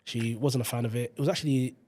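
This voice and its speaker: A man in his thirties from South London with a London accent, a teacher telling his own school story as a podcast guest in quick, animated, slangy chat. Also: medium pitch, dry recording. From South London, England. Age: 30s.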